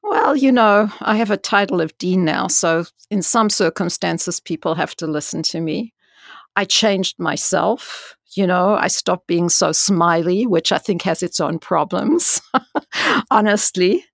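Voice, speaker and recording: A woman with a strong, loud voice and a gravelly fry at the ends of her phrases, speaking frankly and with animation. Her voice sounds close and dry, with no room sound around it. She lifts her pitch sharply whenever she stresses a point. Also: unhurried, no echo. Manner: frank and animated, many "you know"s, rising to emphasis. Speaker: dean and scientist. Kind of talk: candid interview storytelling.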